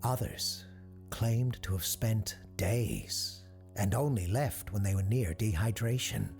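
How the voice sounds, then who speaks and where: A man with a low, creaky voice delivers eerie scripted narration over music. He is slow and deliberate, pausing for drama, with plenty of movement in his pitch. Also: a little mellow. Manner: measured, deliberate, dramatic pauses. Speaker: host and narrator.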